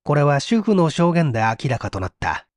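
A man's voice: fairly high pitch, sing-song, moderate pace, dry recording. A man reading a mystery story aloud as dramatic scripted narration. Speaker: audiobook reader.

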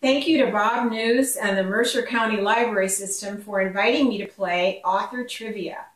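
A woman's voice: medium pitch, moderate pace. A woman in her sixties, a novelist, giving a scripted book introduction in an echoey kitchen, away from the microphone. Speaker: mystery novelist. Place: home kitchen. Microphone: camera a few steps away. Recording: roomy kitchen echo, distant microphone.

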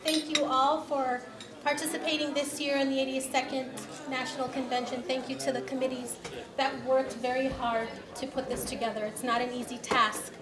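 A woman's voice: sits high, level tone, normal speed. A middle-aged woman giving an award speech at a podium microphone, reading from notes and switching between languages. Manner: reads from notes, switches between English and Assyrian. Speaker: award presenter. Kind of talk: ceremony speech. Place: large banquet hall. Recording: echoey banquet hall with crowd.

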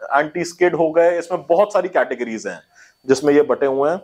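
A man in his thirties giving a polite sales pitch while leading a tour of a shoe showroom, speaking into a lapel mic and repeating his selling points. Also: lively intonation, brisk, a little mellow, husky. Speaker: shoe factory representative. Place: shoe showroom and warehouse, indoors. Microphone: clip-on lapel mic.

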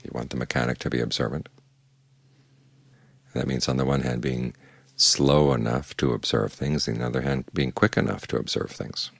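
An older man gives an evening meditation talk with calm, measured patience. His voice sits extremely low, with a gravelly, husky grain. The sound is close and dry, with no room around it. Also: dry recording. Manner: calm, measured, plain-spoken teaching. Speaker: Buddhist monk giving a Dhamma talk.

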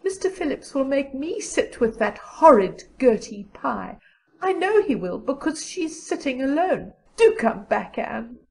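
A woman's voice: high voice, expressive, a little slow, darkish tone, breathy edge, heavily acted, rough recording. A woman voicing a young schoolgirl, a plaintive and coaxing best friend, in an audiobook. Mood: pleading, upset. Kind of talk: audiobook character dialogue.

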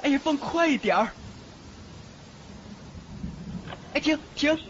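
A male actor playing a brash, fiercely protective teenage boy exorcist in an audio drama, expressive and dramatic. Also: very high-pitched, quick pace, muffled recording. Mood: alarmed. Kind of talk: Chinese audio drama acting.

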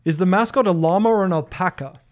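A man's voice: high-pitched, quick pace. A man in his thirties from Norway, speaking English as a second language, casually answering viewer questions on a stream from his home office into a desk mic, with lots of ums and restarts. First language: Norwegian. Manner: chatty, many ums and restarts, reads questions aloud. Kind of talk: casual livestream Q&A. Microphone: desk broadcast mic close to face.

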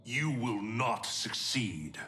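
A man's voice: medium pitch, expressive, slow pace, bright, forceful tone, distant mic, faint music behind. An actor playing a stern, righteous thunder god in a video game, grave and formal in his speech. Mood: stern, defiant. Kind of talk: dramatic video game cutscene acting.

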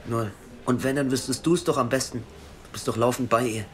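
A man's voice: mid-range voice, fairly even intonation, fairly quick, lightly acted. An actor playing a fussy, stammering male tech expert who is touchy and petulant in an audio drama. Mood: sulky. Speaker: Moritz.

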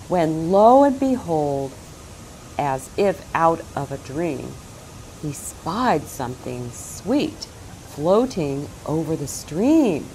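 A middle-aged woman reading a picture book aloud to young children, expressively, with dramatic phrasing and pauses. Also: fairly low pitch, darkish tone, slight background noise, low-quality recording.

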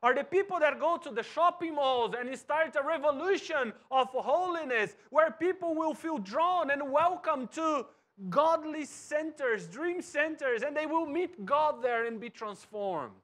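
A man in his thirties from Brazil, a pastor with a Brazilian Portuguese accent, preaching a sermon from a church stage and swinging from soft to loud. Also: very high voice, unhurried. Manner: animated, wide gestures, swings from soft to loud. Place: church stage with cross backdrop and bookshelves.

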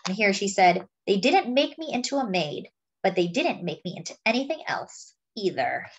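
A woman reading a picture book aloud, with expressive, dramatic phrasing. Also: medium pitch, normal speed. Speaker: storybook reader.

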